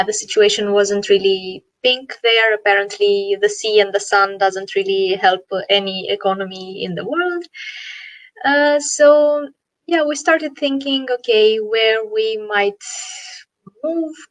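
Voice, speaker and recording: A woman's voice: on the high side, fairly bright tone, dry recording, poor audio. Romanian-accented woman telling her story. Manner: reflective, with many "uh" and "you know". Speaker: guest, NLP coach and founder. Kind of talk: online interview, personal story. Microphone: video call.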